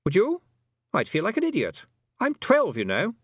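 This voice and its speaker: A man voicing a haughty yet anxious twelve-year-old lost boy in a storybook, emphatic and excitable. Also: high-pitched, normal speed, no room sound, studio quality, voice pushed up, full character voice. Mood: indignant.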